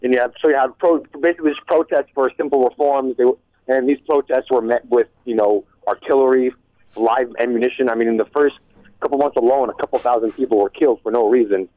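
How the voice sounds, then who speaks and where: A young man calling in to a talk radio show, rushing through his points with frequent restarts. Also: mid-range voice, steady tone, no room sound. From United States. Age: young adult. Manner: rapid, restarts mid-sentence. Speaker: caller. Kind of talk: radio call-in conversation. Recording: tinny phone line.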